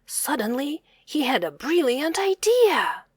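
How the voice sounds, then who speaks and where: A woman reading a children's picture book aloud, expressive and deliberate, on a close mic. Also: high voice, no echo. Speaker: children's story reader. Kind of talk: read-aloud storytelling.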